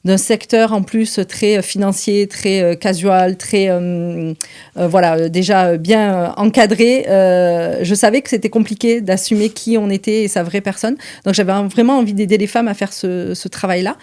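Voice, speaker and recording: A middle-aged woman talks in a relaxed, conversational podcast style. Her voice sits at an average pitch for a woman. She builds sentences in short pieces, hesitating often between them. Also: moderate pace. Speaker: podcast co-presenter, business manager. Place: indoors at her home.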